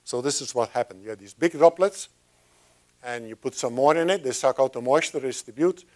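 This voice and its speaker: A man's voice: medium pitch, brisk, a little mellow. Older man giving a rambling science talk. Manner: rambling, chatty, with many restarts and asides. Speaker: guest speaker. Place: lecture room at a podium. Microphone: lapel mic.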